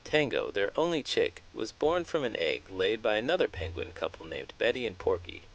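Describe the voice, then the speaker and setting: A man hosting a children's read-aloud, speaking in an expressive, playful storytelling style. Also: lowish voice, moderate pace, lo-fi sound. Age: adult parent.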